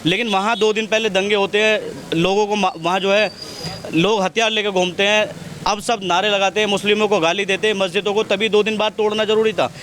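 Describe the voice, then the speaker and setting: A man's voice: high voice, fairly even intonation, brisk, a bit bright. A man giving his opinion in a street interview in an emphatic, argumentative way, often repeating his phrases. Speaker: member of the public being interviewed. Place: outdoors on a city street. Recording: outdoor street with traffic noise. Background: faint street and vehicle noise.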